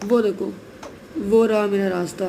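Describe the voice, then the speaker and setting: A man with a sore throat talks his viewers through a game in a loose, chatty way, pausing now and then to clear his throat. The recording is noisy, with clicks running underneath. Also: sits high, level tone, mellow. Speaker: gameplay video creator. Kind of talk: casual gameplay commentary.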